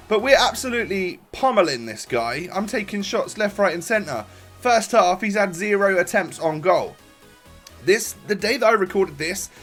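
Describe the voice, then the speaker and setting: Young man, congested and sniffly, slightly high voice, rambling gameplay commentary, faint music behind. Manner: rambling, relaxed, frequent fillers and restarts. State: congested from a cold. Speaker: gaming YouTuber. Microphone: desk microphone.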